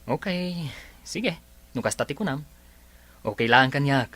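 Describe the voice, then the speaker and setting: A man's voice: medium pitch, animated, measured pace, bright, forceful tone, no room sound. A man playing an easygoing friend who consoles the lead in a radio comedy drama.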